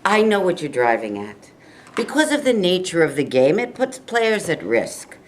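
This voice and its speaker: A woman playing an earnest, reassuring high school football coach in a staged reading, with a measured, persuasive delivery. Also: sing-song, slight background noise. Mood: defensive, persuasive.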